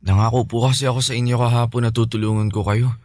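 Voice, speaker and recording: A man's voice: mid-range voice, steady tone, fast talker, a little mellow, no echo, clean studio sound, close to an everyday voice. A man playing a respectful, playful, sickly teenage son in a Filipino radio drama. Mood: polite, eager. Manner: respectful, boyish, pleading.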